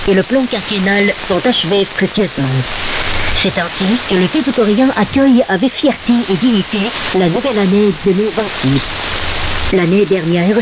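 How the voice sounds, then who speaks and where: A woman radio announcer reading a formal scripted address in a measured, declamatory way over a noisy shortwave broadcast. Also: medium pitch, animated. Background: loud static hiss.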